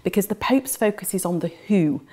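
A woman's voice: medium pitch, expressive, a little fast, a little mellow. A woman in her forties, seated indoors, explaining church matters in an earnest, fluent interview and stacking up rhetorical questions for emphasis. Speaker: theologian interviewed on the synod. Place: indoor room with plain walls.

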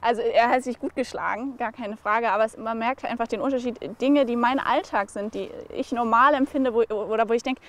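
A woman in her twenties, a physiotherapist helping on her family's farm, talking quickly and lively outdoors in a documentary. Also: on the high side. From Germany. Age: 20s. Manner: lively, chatty, explains things quickly. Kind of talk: documentary interview and walk-and-talk. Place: outdoors on a farm, in a barnyard and pasture.

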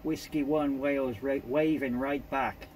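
A man's voice: mid-range voice, fairly even intonation, measured pace, darkish tone. A British man working as a CB radio operator, calling out contacts and repeating callsigns and phrases. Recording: CB radio static and noise.

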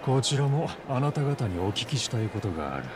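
A man plays a courteous crime boss from a video game, composed and quietly menacing. His formal, condescending speech moves through lively pitch swings, with faint ambience behind it. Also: noisy background, lightly acted. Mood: polite, firm. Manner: formal, polite, measured, condescending. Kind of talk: Japanese video game dub acting.

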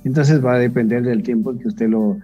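A middle-aged man with a Guatemalan Spanish accent, a doctor calmly answering call-in questions with frank advice and rhetorical questions. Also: medium pitch, normal speed, highs cut off, soft background music. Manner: calm, explanatory, asks rhetorical questions. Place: home room on a video call.

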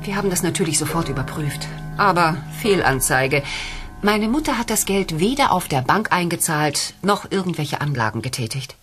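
An actress playing a bereaved middle-aged client and friendly hostess in a German audio drama. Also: female voice, fairly low pitch, animated, moderate pace, fairly bright tone, faint music behind. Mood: matter-of-fact.

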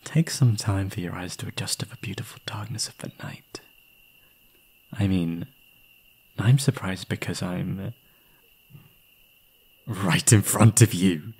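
A young man playing an obsessive, gleeful yandere kidnapper in an intimate ASMR roleplay, doting on the listener in a breathy voice. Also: low-pitched, slow, long pauses, darkish tone, exaggerated voice. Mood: soothing, menacing. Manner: doting pet names, unhinged chuckling, swinging into whispers.

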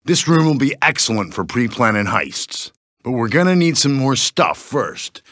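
An actor playing a cocky, upbeat heist gang boss in a video game, swaggering and informal. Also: male voice, mid-range voice, big pitch swings, normal speed, fairly bright tone, no echo, studio quality. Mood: businesslike. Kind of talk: scripted video game character lines.

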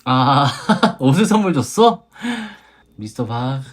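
A young woman co-hosting a casual dating-show review, relaxed and musing, often with a light laugh. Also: a bass voice, takes it slowly.

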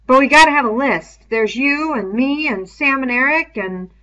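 A woman's voice: high-pitched, normal speed, sharp tone, voice pushed up. A woman voicing a worried, practical boy leader in a storybook reading, giving short orders and questions, on a dull lo-fi recording.

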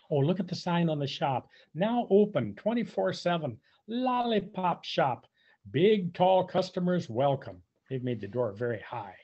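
An older man reading a picture book aloud to young children, with drawn-out emphasis and playful questioning. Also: fairly high pitch, dark tone, slightly rough. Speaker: volunteer storybook reader for young children. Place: indoors, on a video call. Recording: dull, muffled webcam audio.

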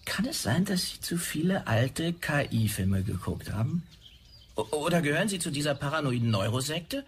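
Condescending technician, background noise. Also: male voice, fairly high pitch, very animated, moderate pace. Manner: condescending, officious, slightly hesitant. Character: dismissive, patronising male implant technician. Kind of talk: sci-fi audio drama.

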